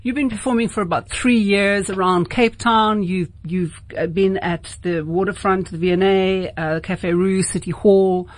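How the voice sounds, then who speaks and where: A woman's voice: medium pitch, fairly even intonation, normal speed, hardly any pauses. Woman hosting late-night radio. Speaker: radio host interviewing a guest.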